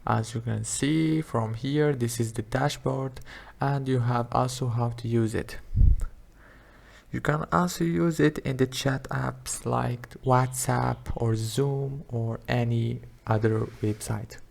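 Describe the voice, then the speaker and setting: A man hosting a software tutorial, narrating simple instructions step by step. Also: medium pitch, fairly even intonation, takes it slowly, vocal fry. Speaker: tutorial host.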